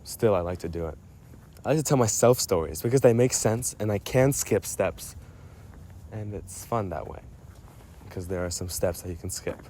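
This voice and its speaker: A man's voice: low-pitched, moderate pace, dark tone, a little hiss or hum. A man speaking casually to a friend in a personal voice memo, playful and self-mocking, often interrupting himself and drifting off his thread. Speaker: storyteller recording a personal voice memo. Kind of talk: rambling casual monologue addressed to a friend.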